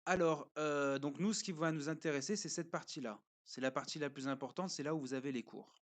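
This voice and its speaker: Hesitant man giving a casual review. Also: on the high side. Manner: hesitant, pauses often with fillers; explains step by step while showing a screen. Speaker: parent reviewing a learning platform.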